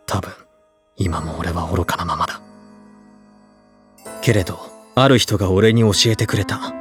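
Slow, deep, solemn young man. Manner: solemn, measured. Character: earnest, brooding teenage boy confessing his foolishness. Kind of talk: audio drama monologue.

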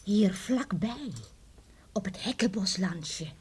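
An actress playing a lively older grandmother in a radio drama, telling eerie tales with chuckles and hushed suspense. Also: mid-range voice, takes it slowly, slightly rough. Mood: eerie, confiding. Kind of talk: radio drama acting, storytelling.

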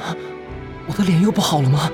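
A man playing a gentle but defiant, self-sacrificing young immortal in an audio drama, with earnest, expressive delivery. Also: a little high, fast talker, loud music behind the voice, natural voice. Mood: puzzled. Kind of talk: Chinese audio drama acting.

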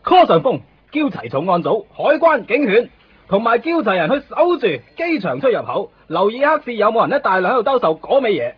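Casting: bumbling, self-important Hong Kong constable, swooping delivery, melodramatic, dull radio-drama sound. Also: male voice, high-pitched, sing-song, fairly quick, husky, exaggerated voice. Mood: officious, dramatic. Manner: officious, melodramatic, peppered with English words. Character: excitable, self-important male police constable, overdramatic and bumbling. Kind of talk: comic radio drama acting.

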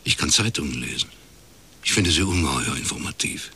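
An actor playing a shrewd, dry-witted private detective in a radio crime drama, laconic and deadpan. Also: male voice, very deep voice, brisk, breathy edge, exaggerated voice. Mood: dry, knowing. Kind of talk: naturalistic German radio crime drama acting.

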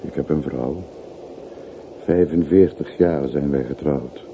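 An actor playing a gentle, melancholy old railway porter in a radio play, speaking in measured, earnest phrases. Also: male voice, rumbling low, heavily acted. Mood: sorrowful. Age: older. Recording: slightly noisy old radio recording.

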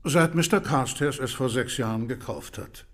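An actor playing a slightly defensive male household servant in a German radio drama, with a husky voice. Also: medium pitch, moderate pace, natural voice. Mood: matter-of-fact.

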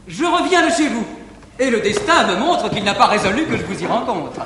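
Un jeune soupirant ardent et courtois, à la voix haute et très expressive. Il déclame avec politesse et entrain, capté de loin sur une scène de 1959, avec de l'écho et un léger souffle. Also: male voice, sits high, fairly quick. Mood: courteous. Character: eager young male suitor, courteous and ardent. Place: large theatre stage. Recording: distant echoey 1959 stage recording with faint hiss.

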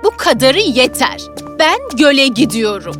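A woman performs a spoiled, self-admiring young girl from a storybook fairy tale. Her voice is high and very melodic, drawn out slowly with haughty disdain, over soft background music. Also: sing-song, exaggerated voice. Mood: indignant. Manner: haughty, dismissive, drawn-out delivery. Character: vain, rude, haughty young village girl dreaming of queenhood. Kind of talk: children's fairy tale audio acting.

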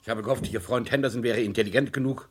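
An actor playing a curt, authoritative police superior in a German crime radio play, with clipped delivery. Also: male voice, medium pitch, steady tone, fast talker, music in the background, close to an everyday voice. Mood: brisk, stern.

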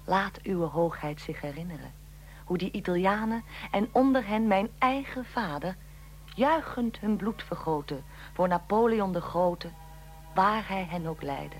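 A woman's voice: on the low side, animated, a little slow, slight background noise. A woman playing a curious, sympathetic interviewer of historical figures in a radio drama. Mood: solemn reading.

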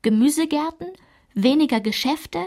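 A woman performing a narrator who recalls her small-town French childhood in a literary radio play, in a measured, enumerating delivery. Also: high voice, a little mellow. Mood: measured, reflective.

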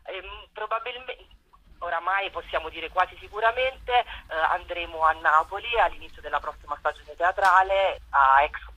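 A woman's voice: lowish voice, normal speed, sharp tone. A woman giving an informal radio interview over a tinny telephone line, explaining things in a hesitant way. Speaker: theatre collective member, radio guest.

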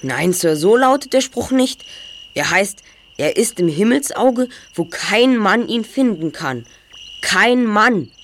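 A woman's voice: medium pitch, expressive, slow. A woman playing a clever, confident teenage boy detective who explains precisely in an audio drama. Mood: confident, reasoning. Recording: faint noise, chirping crickets.

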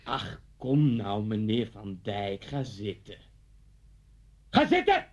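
A man's voice: fairly low pitch, huge pitch swings, slow, heavily acted. Menacing, vengeful madman, swooping voice. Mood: menacing, taunting. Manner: mocking, theatrical, menacing. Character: vengeful, deranged man taunting his old schoolteacher at gunpoint. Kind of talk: radio play acting.

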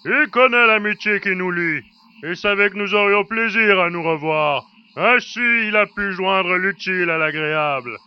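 Jovial teasing colossus for sci-fi audio drama, male actor: booming, high, smooth, unhurried. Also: muffled recording, close to an everyday voice. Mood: hearty. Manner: booming, hearty, slow delivery.

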